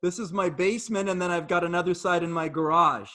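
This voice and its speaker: A middle-aged man hosts a casual talk in an earnest, reflective way. His voice sits high for a man, and he often circles back over his words. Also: fairly even intonation, fast, sharp tone. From Jamaica, raised in Canada. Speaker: fitness trainer and host. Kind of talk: casual conversational interview.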